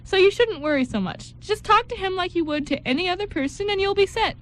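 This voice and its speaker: A woman's voice: very high-pitched, animated, fast, fairly bright tone, a little hiss or hum. A woman playing a sweet, supportive bride-to-be in an amateur radio play, coaxing and reassuring.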